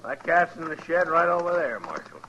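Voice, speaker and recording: An actor playing a hard, vengeful cattle rancher, blunt and demanding, with a rural American West accent in a 1950s radio western. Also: male voice, fairly high pitch, big pitch swings, brisk, sharp tone, a little hiss or hum. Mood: defiant. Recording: dull old radio recording.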